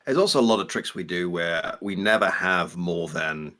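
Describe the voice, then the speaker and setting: A man's voice: sits low, lively intonation, normal speed, bright, forceful tone. A man from Belgium whose first language is French, co-hosting a casual live stream and explaining things in a digressive way. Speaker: livestream co-host, game asset developer.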